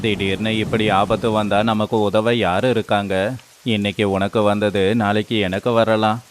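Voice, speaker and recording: A man's voice: lowish voice, flat delivery, moderate pace, a little hiss or hum, lightly acted. A man playing a poor village friend in a moral story, concerned and earnest, giving cautious advice. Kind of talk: dramatized moral story voice acting.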